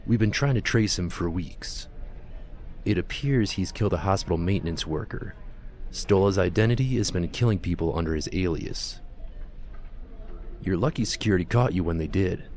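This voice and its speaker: An actor playing a matter-of-fact male police investigator in an audio drama, measured and official in manner. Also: low voice, a little mellow. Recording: faint noise and ambience.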